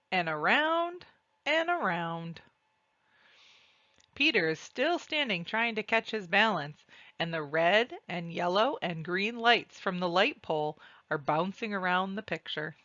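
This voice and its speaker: A woman reading a children's storybook aloud and describing its pictures, with deliberate, expressive phrasing. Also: fairly low pitch, no room sound, highs cut off. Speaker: read-aloud narrator.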